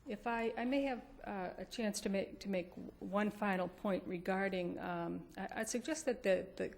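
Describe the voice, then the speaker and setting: A woman's voice: mid-range voice, moderate pace, gravelly, a little mellow. A woman defense attorney giving an appellate oral argument in a courtroom. She is careful and hesitant and often restarts her phrases.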